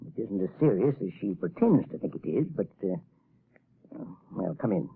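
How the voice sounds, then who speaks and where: An actor playing a nervous, evasive male professor, stammering and husky in a 1930s radio drama. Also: fairly low pitch, sing-song, moderate pace, a little hiss or hum. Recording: tinny 1930s radio broadcast.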